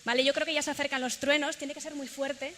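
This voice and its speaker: Bright-voiced woman leading a workshop. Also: sits high, level tone, fast, slight background noise. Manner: instructive, guiding a group. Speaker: workshop leader. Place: large hall. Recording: echoey hall, faint ambience.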